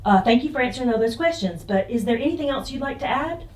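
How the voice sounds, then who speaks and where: A woman's voice: fairly high pitch, animated, fast talker. A woman acting as interviewer, casually asking simple beginner questions, recorded with a roomy echo. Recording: roomy, echoing voice pickup.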